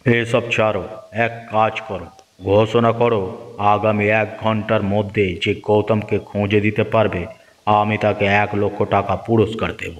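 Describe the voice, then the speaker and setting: Village master male actor: low, authoritative, shrewd cartoon judge; big reverb. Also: flat delivery, normal speed, natural voice. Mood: measured authority. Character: commanding, shrewd village master who dispenses justice. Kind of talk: Bengali cartoon voice acting. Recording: long hall reverb, music underneath.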